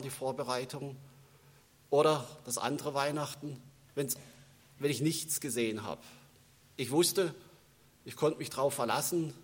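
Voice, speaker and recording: A man's voice: mid-range voice, breathy edge. A man giving an Advent sermon in a church, talking in a conversational way and telling personal stories, with a German accent that has a northern standard touch. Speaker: preacher. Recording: long church reverb.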